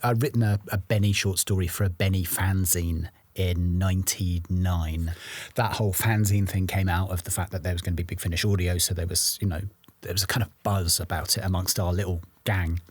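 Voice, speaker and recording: A man's voice: low voice, fairly even intonation, fairly quick, a little mellow, no room sound. A man, a writer, chatting casually in a documentary interview.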